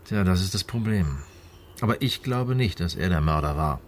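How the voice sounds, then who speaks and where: A man playing a calm, methodical police inspector in a radio crime drama, speaking in a measured, matter-of-fact way. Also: sits low, slight background noise. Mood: thoughtful, measured. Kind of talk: naturalistic German radio drama dialogue.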